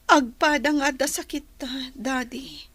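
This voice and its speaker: A sickly young daughter in a radio drama, subdued in manner. She speaks slowly in a high-pitched voice with an Ilocano accent. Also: fairly bright tone. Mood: subdued, caring.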